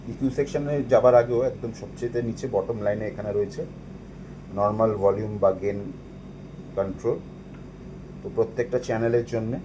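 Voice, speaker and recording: Male presenter, Bengali accent, fairly low voice, informal explanatory demo delivery, clearly noisy recording. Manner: explanatory, mixes in English technical terms. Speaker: presenter demonstrating audio equipment. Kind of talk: informal product walkthrough, explaining step by step.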